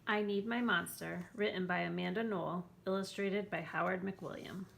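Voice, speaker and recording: A woman with a mid-pitched voice reading aloud for children in a bedtime storybook style. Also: fairly even intonation, normal speed, vocal fry, fairly bright tone. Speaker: school staff reading aloud.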